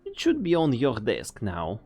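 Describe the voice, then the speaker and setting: A woman's voice: very deep voice, wildly swooping pitch, measured pace, darkish tone, husky, soft background music, full character voice. A woman playing a sly, taunting, coldly amused scientist in an English fan dub, with a teasing, theatrical delivery.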